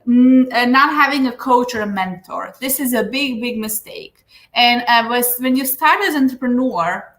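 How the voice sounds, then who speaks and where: A woman's voice: on the high side, pushed and bright. A woman from Serbia with a Serbian accent, a business coach giving earnest advice in an interview, with frequent fillers. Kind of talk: interview answers. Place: Dubai.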